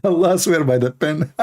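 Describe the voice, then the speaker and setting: A man's voice: high voice, creaky. Sarcastic man speaking non-native English. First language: Arabic. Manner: sarcastic, laughs often. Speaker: online debater. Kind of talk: mocking commentary.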